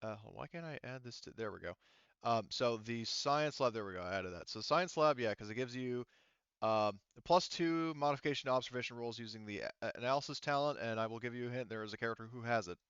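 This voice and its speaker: A man working as game master, explaining tabletop rules casually in a wordy, halting way that often doubles back on itself. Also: medium pitch, fairly bright tone, smooth, no room sound, lo-fi sound. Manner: halting, self-interrupting, wordy.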